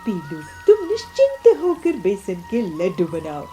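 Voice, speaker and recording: A gentle, protective deity from a children's cartoon, voiced by a woman. The voice is mellow, soft and unhurried, reassuring over faint music. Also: mid-range voice, wildly swooping pitch. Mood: tender, reassuring.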